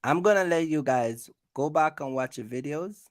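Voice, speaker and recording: A man's voice: a little high, animated, normal speed, no echo, clean studio sound. A man hosting a casual live quiz, teasing the players and repeating himself for emphasis. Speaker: host of a live quiz for his channel. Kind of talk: casual chat, game-show questioning.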